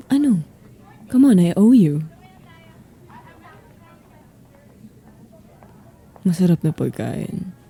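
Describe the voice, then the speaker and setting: An actress plays a young Filipina varsity athlete in an intimate audio roleplay. Her voice is low and very mellow, with a breathy husk. She is teasing and confident, yet halting and softly vulnerable, and she takes her time. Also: exaggerated voice. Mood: playful, teasing. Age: young adult. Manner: teasing, breathy, halting, mixes Tagalog and English. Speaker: voice actor.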